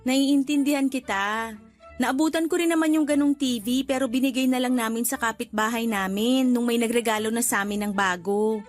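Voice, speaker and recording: A woman's voice: a little high, fairly even intonation, moderate pace, natural voice. A woman playing a sweet, casually condescending Filipina neighbor in a radio drama, breezy in manner. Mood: friendly, breezy. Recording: light background music under dialogue.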